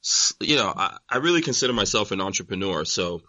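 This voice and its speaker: Brief: forties male guest, very low, bright tone, dry, slightly dull recording, easygoing rambler. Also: quick pace, no room sound. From born in Guyana, raised in England and Nigeria. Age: 40s. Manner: relaxed, rambling, many "you know" fillers. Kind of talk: casual conversation.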